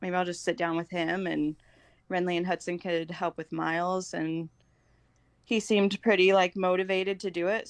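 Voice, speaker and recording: A woman with a slightly low voice talks casually as a podcast guest. The sound is dry, without room echo. Her phrasing is informal and hesitant, strung together with filler words. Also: vocal fry. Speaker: podcast guest, homeschooling mother. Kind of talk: casual chat.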